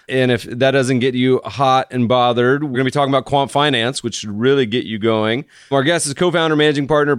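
A man's voice: mid-range voice, clear, resonant tone, no echo, studio quality. A man hosting a podcast, chatting casually and joking with his guest in an upbeat way. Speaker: podcast host. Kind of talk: casual interview chat.

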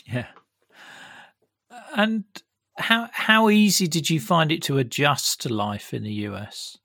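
A woman's voice: low voice, sing-song, slow, creaky, a bit bright, no room sound, studio quality. A woman interviewing a guest, asking short questions and giving brief encouraging replies.

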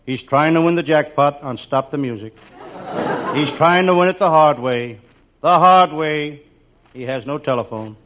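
Wisecracking hardboiled detective played by a man, slightly high male voice, deadpan 1940s radio comedy, very noisy old recording. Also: normal speed, highs cut off, natural voice. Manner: deadpan, slangy, punchline delivery. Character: wisecracking, down-on-his-luck hardboiled private detective. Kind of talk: 1940s radio comedy acting, scripted first-person narration.

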